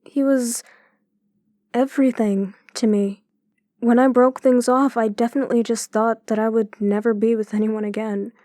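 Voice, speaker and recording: An actress in an audio drama playing a gentle, troubled woman who speaks hesitantly and confidingly. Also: on the high side, steady tone, moderate pace, dry recording, clean studio sound, natural voice. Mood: troubled, reflective. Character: gentle, troubled woman opening up to her brother.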